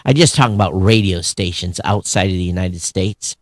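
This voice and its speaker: A man's voice: sits low, fairly quick, no echo. Dry-sounding man chatting, often breaking off. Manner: animated, sarcastic griping with mock quoted voices. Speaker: podcast co-host. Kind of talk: casual opinionated talk show chat.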